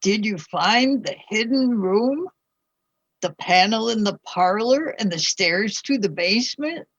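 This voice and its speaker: A woman's voice: medium pitch, expressive, a little slow, lo-fi sound. A woman playing a curious ghost from the 1820s with a formal, inquisitive manner. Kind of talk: community radio play acting.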